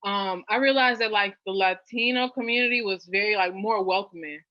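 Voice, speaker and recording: A woman's voice: fairly quick, no echo. Young woman from new orleans gabbing with lots of likes and ums, kinda muffled dry mic. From New Orleans, US South. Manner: chatty, many fillers, animated rises in pitch. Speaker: guest. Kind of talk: casual interview chat. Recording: dull lo-fi dry sound.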